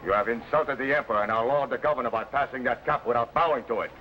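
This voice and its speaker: An actor playing a bullying, pompous guard who barks orders in old adventure serial style. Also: male voice, medium pitch, quick pace, muffled recording, natural voice. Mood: outraged. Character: bullying, officious male palace guard. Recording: noisy old broadcast recording.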